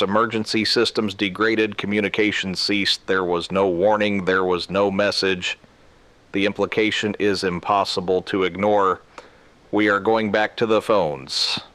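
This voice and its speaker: A man playing a grave emergency radio broadcaster in a scripted drama, speaking solemnly and deliberately in short, clipped sentences. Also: deep voice, fairly bright tone, no room sound. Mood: grave, urgent. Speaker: radio news host.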